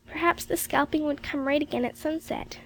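A gentle younger girl speaks softly and soothingly in a storybook reading, voiced by a woman. The voice is high and light. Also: a little fast, vocal fry, poor audio. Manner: soft and soothing.

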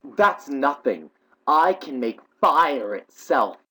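A man's voice: medium pitch, sing-song, slow, bright, forceful tone, husky, voice pushed up, exaggerated voice. A man voicing a bold, cheeky young English schoolboy in an audiobook, with a brash, boastful manner. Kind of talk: audiobook character voice.

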